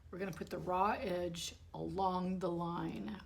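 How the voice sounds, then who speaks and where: A woman with a hoarse voice, chatting casually to viewers as she demonstrates sewing steps in her home studio, often correcting herself. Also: fairly low pitch, fairly even intonation, a little slow. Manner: chatty, talks to viewers while working, self-correcting. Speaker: bag-sewing tutorial host.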